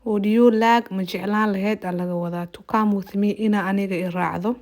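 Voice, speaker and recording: Somali woman teacher with medium pitch. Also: fairly even intonation, a little fast, a little mellow. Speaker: language teacher. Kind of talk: lesson translating phrases and explaining words.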